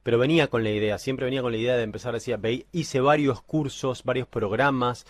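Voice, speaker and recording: A man in his forties with a Buenos Aires accent talks in a conversational interview style. His pitch is average for a man, and he often repeats words while he works out his thought. The sound is dry, with very little room in it. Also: fairly even intonation, quick pace, no echo. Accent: Buenos Aires Argentine. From Buenos Aires, Argentina. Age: 40s. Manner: hesitant, repeats words while thinking aloud. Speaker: guitar teacher and musician interviewed as a client.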